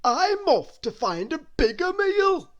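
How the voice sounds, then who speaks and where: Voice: very high voice, big pitch swings, slow, lo-fi sound, voice pushed up, exaggerated voice. A storybook reader voicing a greedy little man who is never satisfied. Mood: brusque. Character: greedy round little man, always hungry.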